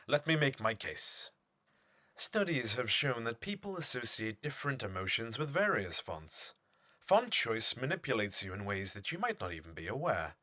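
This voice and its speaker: An actor playing a pompous, obsessive typeface designer, delivering a formal, theatrical monologue for an audio horror drama. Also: male voice, on the low side, bright, forceful tone, full character voice. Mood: self-aware, pleading, then persuasive, lecturing.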